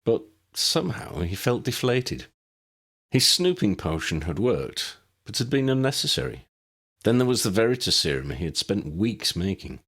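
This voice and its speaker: A man narrating an audiobook of his own fantasy story in a measured, expressive manner. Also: fairly low pitch, breathy edge.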